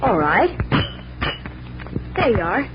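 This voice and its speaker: An actress playing an eager, excitable woman who helps a detective in an old-time radio drama. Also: a little high, slow, over loud music. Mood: puzzled, obliging. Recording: very noisy, muffled old radio recording.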